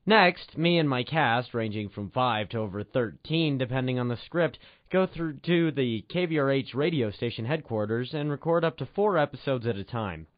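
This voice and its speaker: A man's voice: mid-range voice, animated, moderate pace, hardly any pauses, no echo. Man with a dull, dry radio sound. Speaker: radio show writer, director and announcer. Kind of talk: scripted old-time radio narration. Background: music.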